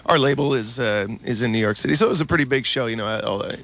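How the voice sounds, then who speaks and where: Male band musician, casual interview, laid-back, creaky voice at a quick pace. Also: mid-range voice, a bit bright.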